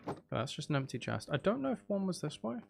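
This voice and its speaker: A man's voice: a little high, very animated, quick pace, gravelly, slight background noise. A man giving casual gameplay commentary to viewers, thinking aloud and questioning himself in a wry, joking way. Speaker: streamer, host of the channel.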